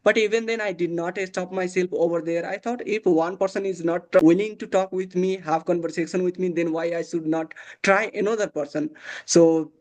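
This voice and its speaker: Young Nepali man, Bhojpuri native, highish voice, hesitant learner English over video call. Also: fairly even intonation, normal speed. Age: young adult. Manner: hesitant, many fillers and restarts. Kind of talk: casual conversation practice over video call.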